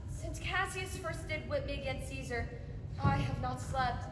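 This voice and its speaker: A woman's voice: high voice, measured pace, very noisy. A young woman, a student actor, playing a grave, troubled Roman nobleman in solemn, declamatory stage Shakespeare. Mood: brooding. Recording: echoey hall, far mic, music behind.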